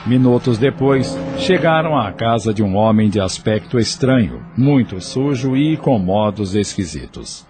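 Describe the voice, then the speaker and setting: A man with a Brazilian Portuguese accent narrating an audio drama in a measured, expressive storyteller's manner. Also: lowish voice, over music.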